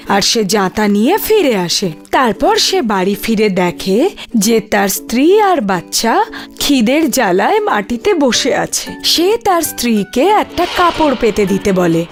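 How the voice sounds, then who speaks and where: Lively, high-voiced woman storyteller. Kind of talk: scripted fairy-tale narration for a cartoon.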